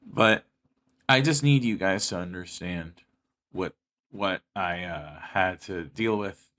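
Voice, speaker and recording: A man's voice: low voice, slow pace, a bit bright. A young American man speaking candidly to his viewers in a personal monologue, blunt and emphatic, with heavy swearing. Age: young adult. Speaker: YouTuber.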